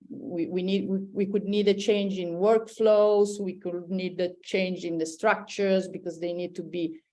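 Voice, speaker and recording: A woman's voice: lowish voice, a little mellow. A middle-aged woman giving a conference presentation as a professor, speaking hesitantly with many fillers and restarts. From born in Poland, raised in Italy.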